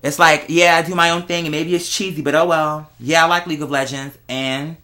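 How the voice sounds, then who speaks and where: A man's voice: on the high side, steady tone, fairly quick, sharp tone. A young American man talks casually and candidly in a chatty monologue, with a sassy, slangy, emphatic manner and dramatic asides. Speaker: vlogger.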